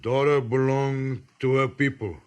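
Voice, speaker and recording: Man acting a slow, halting proud Sioux chief. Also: medium pitch, steady tone, smooth, close to an everyday voice. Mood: stubborn, commanding. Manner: halting, clipped broken phrases. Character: proud, distrustful Sioux chief, stern father. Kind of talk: 1950s radio western acting.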